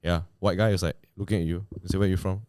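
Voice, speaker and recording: A man's voice: low-pitched, steady tone, racing, darkish tone, smooth, no room sound, studio quality. A man from Malaysia with a Malaysian English accent, telling stories in a casual podcast chat. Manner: chatty storyteller, tags sentences with "lah" and "man". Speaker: podcast co-host.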